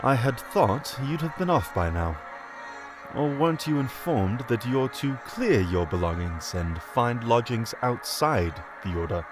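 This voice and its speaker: A man's voice: medium pitch, very animated, a little slow. Man playing a haughty, swooping-voiced scornful Archbishop. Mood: cold, dismissive. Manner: pompous, scornful, formal archaic diction. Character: haughty, contemptuous Archbishop, an angry employer berating his servant. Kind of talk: period audio drama acting. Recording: loud music behind the voice.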